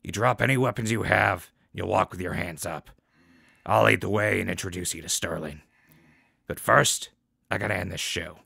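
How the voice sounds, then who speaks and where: A man playing a sardonic, unflappable radio host in a post-apocalyptic audio drama, with a low, gravelly voice and folksy patter. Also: normal speed, bright, forceful tone, no room sound, clean studio sound, exaggerated voice. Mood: wry, firm. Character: wry male wasteland radio show host, unflappable and sardonic.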